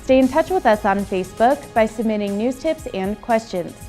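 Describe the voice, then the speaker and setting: Casting: woman anchor, higher voice, scripted city news, soft music under. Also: animated, moderate pace.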